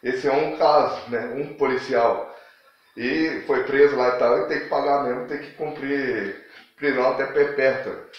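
A man's voice: mid-range voice, a little slow. Male Brazilian vlogger, slangy and self-deprecating, dull lo-fi sound with heavy room echo. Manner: informal, self-deprecating, rambling. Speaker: YouTube channel host. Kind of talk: casual opinion vlog, slangy. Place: small dim room at home, indoors.

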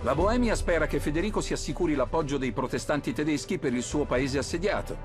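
A man narrating a history documentary in a measured, dramatic voice-over. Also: a little high. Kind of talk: scripted narration. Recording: voice-over with music underneath.

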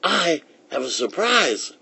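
A kind young girl from a storybook, played by a man talks in a raised, lively pitch. She sounds earnest and eager, like a caring big sister. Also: slow, creaky, breathy edge, no room sound, exaggerated voice. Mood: excited.

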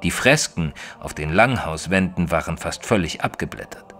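A deep male voice plays an educated gentleman narrator in an audio drama. He speaks in a formal, literary way, as an observant man who is easily flustered. Soft music sits underneath. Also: expressive. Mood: measured narrating. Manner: formal, measured literary storytelling. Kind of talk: scripted first-person audio drama narration.

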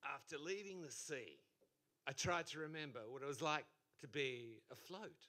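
A man's voice: fairly high pitch, moderate pace. Wry man reading poetry. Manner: wry, deadpan asides. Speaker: open mic poet. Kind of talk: dry self-deprecating intro then poetry reading. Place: small poetry venue stage. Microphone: stand mic. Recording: live room with crowd noise.

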